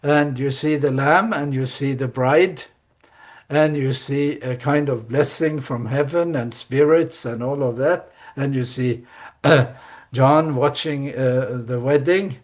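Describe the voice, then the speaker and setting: A man giving a class presentation over a video call, relaxed, with fillers and "you see" asides. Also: medium pitch, takes it slowly. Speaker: lecturer.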